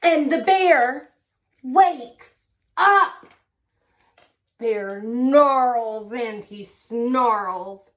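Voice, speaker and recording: A woman storyteller reading a picture book expressively to young children, drawing out words in an animated way. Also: on the high side. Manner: deliberate, drawn-out, animated delivery for young children. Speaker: children's read-aloud storyteller.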